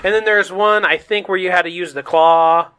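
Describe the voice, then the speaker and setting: A man co-hosting a podcast, chatting casually about games in an excitable, nostalgic storytelling way. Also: high voice, normal speed. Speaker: podcast co-host.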